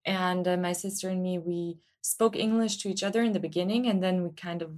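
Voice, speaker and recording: A woman's voice: fairly low pitch, steady tone, fairly quick, a little mellow. A woman from Germany speaking casually and reflectively as an interview guest, hesitantly searching for her words. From Freiburg, Germany.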